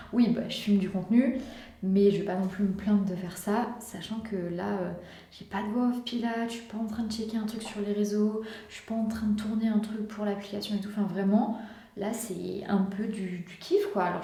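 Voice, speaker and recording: A woman's voice: mid-range voice, dark tone. A young woman from France chatting casually and enthusiastically to camera in a lifestyle vlog, full of casual slang. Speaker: lifestyle vlogger. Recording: echoey room.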